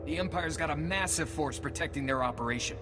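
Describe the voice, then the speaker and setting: A frustrated young soldier acted by a man speaks urgently and informally, his voice on the high side. It is video game dialogue with long reverb and loud music. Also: fast, natural voice. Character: frustrated young male Republic soldier pleading for help.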